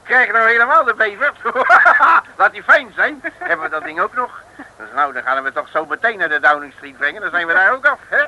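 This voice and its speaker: A cocky, bumbling detective from an old Dutch radio comedy, with a high, very bright voice that swings up and down. He blusters in slangy speech on a noisy vintage recording. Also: big pitch swings, normal speed, exaggerated voice. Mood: cheerful, boastful. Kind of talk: 1960s Dutch comic radio serial acting.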